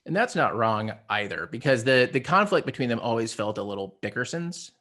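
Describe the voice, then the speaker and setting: A man's voice: medium pitch, animated, fairly quick, pushed and bright. A man on a podcast panel giving emphatic opinions in casual conversation, now and then swearing. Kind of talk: casual, opinionated film discussion.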